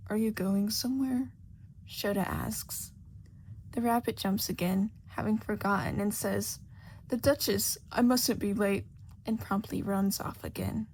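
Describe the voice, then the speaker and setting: A woman reads a story aloud with lively, swooping intonation at a medium pitch. Her delivery is expressive and sometimes softens to a breathy near-whisper. Faint noise sits behind the voice. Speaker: podfic narrator. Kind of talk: audiobook-style fan fiction narration.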